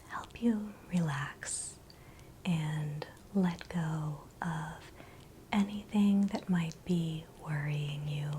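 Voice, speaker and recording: A woman whispers a guided meditation in the ASMR style, very slowly and softly. Her breathy voice sits fairly low and stays gentle and soothing. There is faint steady noise behind her. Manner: gentle, soothing, unhurried reassurance.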